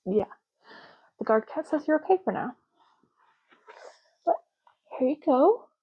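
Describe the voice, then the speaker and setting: A woman speaking softly and unhurriedly in an ASMR roleplay, playing a chatty, matter-of-fact official in short murmured phrases. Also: high-pitched, big pitch swings, a little slow, slightly rough, dull audio. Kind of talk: soft-spoken ASMR roleplay.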